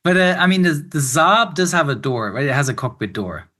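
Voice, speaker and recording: A man's voice: on the high side, expressive, brisk, pushed and bright. A man giving casual, informal reaction commentary as a host, often breaking into a chuckle. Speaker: host reacting to recordings, pilot.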